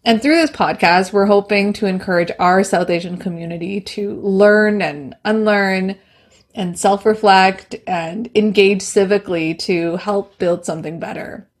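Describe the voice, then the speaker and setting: A young adult woman from Bangladesh co-hosting a podcast, speaking in a measured, earnest way. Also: medium pitch, fairly even intonation. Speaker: podcast co-host. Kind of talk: scripted podcast intro.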